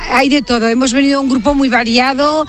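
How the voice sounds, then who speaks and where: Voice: high-pitched, steady tone, fast talker. A person from Barcelona, Spain, organiser of a pilgrim group, giving casual explanatory answers in an outdoor interview. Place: outdoors in a meadow.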